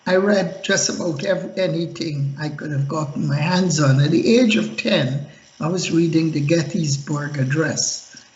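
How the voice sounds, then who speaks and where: A man's voice: a little high, a little slow, darkish tone. A man from Guyana, a guest on a radio panel, giving an earnest, speechlike account of his background. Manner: earnest, speechmaking self-introduction. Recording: echoey, dull lo-fi line.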